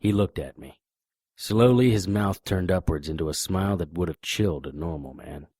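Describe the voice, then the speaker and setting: An actor playing a deadpan, hardboiled private investigator, narrating and speaking in a noir audio drama. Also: male voice, low-pitched, moderate pace, breathy edge, no echo, clean studio sound. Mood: deadpan, tense. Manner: deadpan, wisecracking.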